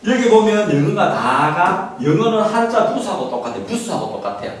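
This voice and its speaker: A middle-aged man lectures informally in a very echoey classroom, picked up from a distance. His voice sits a little high and swings widely in pitch as he makes emphatic points and throws questions at his listeners. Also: fairly quick. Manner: animated, emphatic, asks rhetorical questions. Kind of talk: informal lecture to parents on study methods. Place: small classroom. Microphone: handheld mic, recorded by a camera at a distance. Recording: echoey room, distant mic, background noise.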